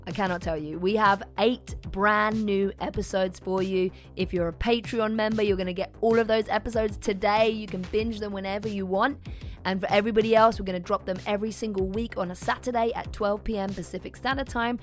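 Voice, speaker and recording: A woman's voice: medium pitch, steady tone, a little fast, faint music behind. A British woman hosting a podcast, reading an upbeat season introduction and sponsor thanks. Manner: upbeat announcing. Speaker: podcast host.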